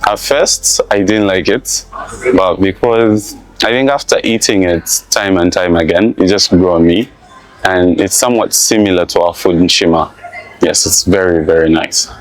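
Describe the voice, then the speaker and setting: Young zambian student guy, really low voice, casual interview chat. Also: fairly even intonation, normal speed, low-quality recording. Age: young adult.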